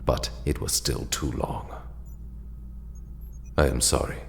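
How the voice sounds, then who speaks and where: A man playing a solemn, formal ancient ally in an audio drama, speaking in a measured, deliberate way. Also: very deep voice, vocal fry, big-room sound, a little hiss or hum, heavily acted.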